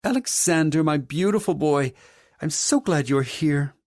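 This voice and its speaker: A man's voice: expressive, unhurried, a little mellow, no echo, clean studio sound. A man voicing a haughty, domineering wealthy mother in an audiobook, with a grand, condescending manner. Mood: gushing, grand. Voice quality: deep female voice. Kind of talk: audiobook character dialogue.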